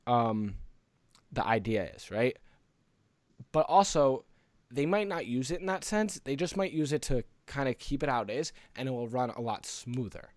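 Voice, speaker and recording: A man's voice: mid-range voice, lively intonation, moderate pace, dry recording. A man co-hosting a casual gaming podcast, talking in a conversational, opinionated way as he explains his views. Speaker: podcast co-host.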